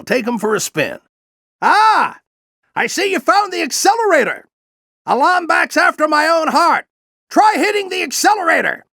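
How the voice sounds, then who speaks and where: Gravelly, theatrical old alien general. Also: male voice, extremely high pitch, fairly bright tone, no room sound, studio quality, heavily acted. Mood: delighted, proud. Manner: grand, exhorting, coaching. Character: aged Lombax general, furry alien mentor, fervent and encouraging. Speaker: mentor and military veteran. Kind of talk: video game character acting.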